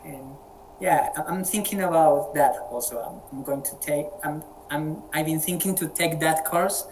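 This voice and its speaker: A man's voice: a little high, darkish tone, slight background noise, poor audio. Colombian guy hosting an english practice call, friendly, keeps repeating words, spanish accent. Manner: friendly, halting with frequent word repeats. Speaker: meeting moderator. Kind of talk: casual online group chat. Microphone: video call.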